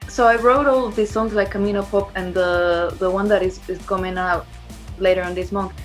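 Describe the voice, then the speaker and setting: A woman's voice: medium pitch, fairly even intonation, brisk, soft background music. A woman chatting informally as an interviewed band member, in a loose, conversational way. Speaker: band singer and songwriter being interviewed. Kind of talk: casual chat.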